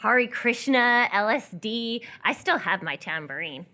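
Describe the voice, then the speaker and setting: A young runaway woman played by an actress in a live radio play, wry and teasing. Her voice sits fairly high and swings widely as she needles and mocks. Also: lively intonation, a little slow, sharp tone. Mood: wry, mocking. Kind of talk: live radio theatre acting.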